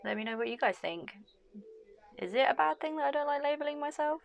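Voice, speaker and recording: Gravelly-voiced woman, a bit hoarse. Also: high-pitched, lively intonation, fast talker, lo-fi sound. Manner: frank and chatty, with frequent laughs and "like, you know" fillers. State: losing her voice, a bit hoarse. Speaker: vlogger. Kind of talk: casual talking-to-camera vlog.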